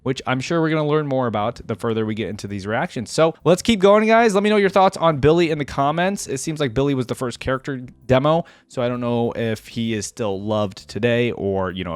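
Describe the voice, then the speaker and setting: A man's voice: mid-range voice, no room sound. A man hosting a reaction video, chatting casually and thinking aloud.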